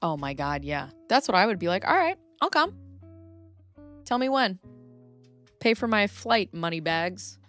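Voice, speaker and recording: A woman's voice: medium pitch, very animated, measured pace, long pauses, fairly bright tone, soft background music. A woman hosting a live stream, reading messages aloud and chatting back to her viewers by name.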